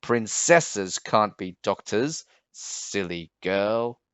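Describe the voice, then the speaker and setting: A man's voice: on the low side, animated, clear, resonant tone, dry recording, highs cut off. Man playing a thundering, pompous storybook king. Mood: angry, scolding. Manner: thundering, disapproving, drawn-out scolding. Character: stern, pompous king uncle, scolding his niece. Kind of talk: children's storybook read-aloud.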